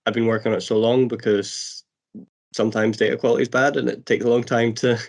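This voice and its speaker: A man's voice: medium pitch, level tone, fast. A man with a cold presenting on a webinar video call, casual and hesitant, with many fillers. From Scotland. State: has a cold.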